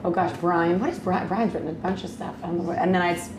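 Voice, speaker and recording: A woman's voice: fairly low pitch, steady tone, fast. A woman co-hosting a live-streamed chat with a playful, self-teasing manner. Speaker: co-host. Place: loft above a store during a convention. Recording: echoey room with background noise.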